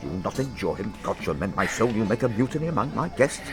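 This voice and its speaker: An actor playing a jovial, blustering old nobleman who is hosting a feast, in a Shakespearean audio drama. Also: very animated. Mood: scolding, blustering. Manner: expansive, booming, chuckling, quick to scold. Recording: loud music behind the voice.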